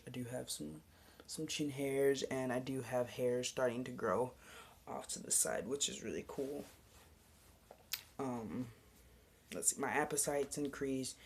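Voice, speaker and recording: A young man talking casually to his camera in a video diary, relaxed and rambling with lots of ums and the odd whispered aside. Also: medium pitch, creaky, slight background noise. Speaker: vlogger.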